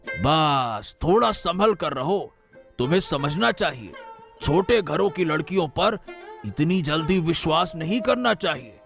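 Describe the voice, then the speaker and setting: A man voicing a nosy, meddlesome male neighbor in an animated family story, speaking in an insinuating way. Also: on the high side, creaky, soft background music, heavily acted. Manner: nosy, insinuating, drawn-out delivery. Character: gossiping meddlesome male neighbor, middle-aged.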